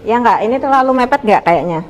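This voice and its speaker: A woman coaches student groups informally as their teacher, gently instructing and often checking with questions. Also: a little high, lively intonation, quick pace. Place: indoor school classroom. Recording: echoey room with background noise.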